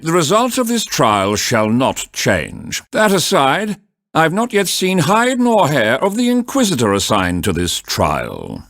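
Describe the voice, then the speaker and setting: A stern, elderly medieval judge for a video game. His pronouncements are slow, formal and pompous, with very dramatic swings in pitch. He is easily flustered, and the recording is dry and close. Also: a bit bright, no room sound, full character voice. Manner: formal, pompous, slow courtroom pronouncements, sputtering when surprised. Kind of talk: theatrical video game voice acting.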